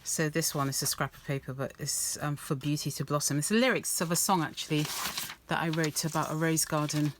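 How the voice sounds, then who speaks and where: A woman rambling softly with many ums and restarts as she works on an art journal page, her voice a little croaky. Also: low-pitched, steady tone, brisk, noisy background. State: slightly croaky voice. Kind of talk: casual craft-along chat.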